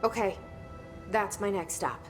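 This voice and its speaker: A woman's voice: mid-range voice, lively intonation, measured pace, close to an everyday voice. Game heroine: actress as a determined, guarded young woman, mid-range voice, direct and serious, faint music behind. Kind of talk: video game dialogue.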